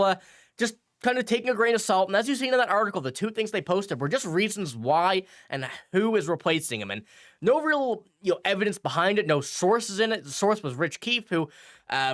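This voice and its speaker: A man's voice: a little high, a bit bright, dry recording, studio quality. Stuffy-nosed young man talking sports. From Newfoundland, Canada. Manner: rambling, restarts often with fillers. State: stuffy from a cold. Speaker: sports news host. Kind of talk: casual commentary.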